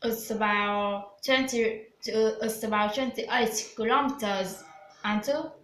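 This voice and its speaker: A woman's voice: medium pitch, takes it slowly, fairly bright tone, reverberant, a little hiss or hum. A woman, a student reciting practice answers in English with care. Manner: plain, careful recited answers. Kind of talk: scripted English speaking practice.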